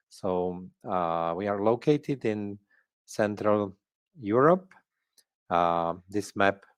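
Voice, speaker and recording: Low-voiced Czech man lecturing. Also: no room sound. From Czech Republic. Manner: careful, hesitant, frequent fillers and restarts. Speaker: guest lecturer. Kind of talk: academic presentation.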